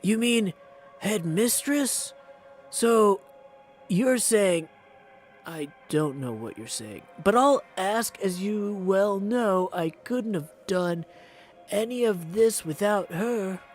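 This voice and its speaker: A man's voice: sits high, animated, takes it slowly, soft background music, voice pushed up. A man voicing an earnest, perplexed young goddess in a video game, speaking formally and questioningly. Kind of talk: video game character practice.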